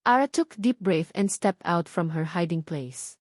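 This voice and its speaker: A woman's voice: lowish voice, animated, normal speed, a little mellow, no room sound, clean studio sound. A woman with a Filipino accent giving a dramatic scripted narration of a romance audiobook.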